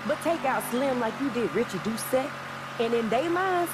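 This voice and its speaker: A woman's voice: a little high, expressive, normal speed, very noisy, close to an everyday voice. An actress playing a tough, slangy, streetwise young woman activist in a video game drama. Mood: wary, challenging. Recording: game music under dialogue.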